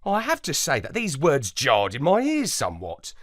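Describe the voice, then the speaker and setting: A man's voice: mid-range voice, huge pitch swings, normal speed, no echo, clean studio sound. A man playing an earnest, loyal space dog, narrating a scripted children's story expressively. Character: earnest, loyal male space dog telling his adventures.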